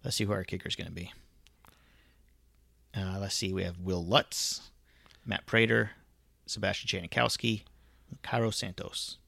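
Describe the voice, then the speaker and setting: Gravelly laid-back man co-hosting a podcast. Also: sits low, steady tone, moderate pace, pauses often, bright, forceful tone, no room sound. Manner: laid-back, laughs easily, reads lists of names. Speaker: podcast co-host. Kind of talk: casual podcast chat.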